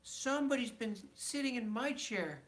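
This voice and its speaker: Man voicing a displeased, high-pitched mother bear. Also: animated, moderate pace, a bit bright. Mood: indignant. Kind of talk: children's storybook read-aloud.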